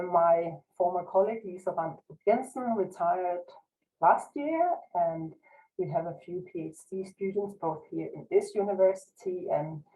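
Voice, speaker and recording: A woman's voice: fairly low pitch, warm tone, rough recording. A woman from Denmark with a Danish accent giving a modest, careful online lecture with frequent restarts as a university researcher. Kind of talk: online presentation. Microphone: video call.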